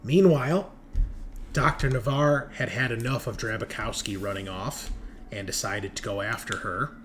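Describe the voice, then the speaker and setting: A man with a fairly low voice recaps a horror roleplaying session as game master. He tells it dramatically, leaning on key words for emphasis. There is some noise and ambience behind him. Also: moderate pace, fairly bright tone. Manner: dramatic storytelling with emphatic stresses. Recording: noticeable background noise.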